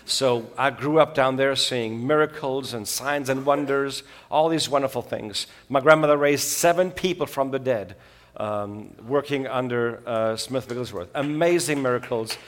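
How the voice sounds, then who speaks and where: A man's voice: medium pitch, normal speed. A middle-aged man from Switzerland, preaching a sermon in a folksy, joking way with many filler sounds. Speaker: guest preacher.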